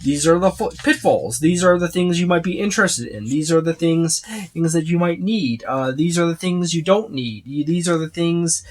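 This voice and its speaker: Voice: mid-range voice, moderate pace, darkish tone. Casual, rambling tabletop rulebook reviewer. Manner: rambling, repetitive, emphatic itemizing. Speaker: tabletop game book reviewer. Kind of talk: casual unscripted overview of a rulebook.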